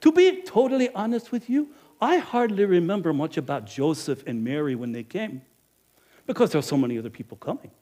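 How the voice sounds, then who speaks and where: A man playing a lively, high-voiced innkeeper. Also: a little fast. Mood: self-justifying. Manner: animated, emphatic, talks straight to the audience. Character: businesslike male village innkeeper, proud then guilty. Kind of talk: first-person dramatic monologue.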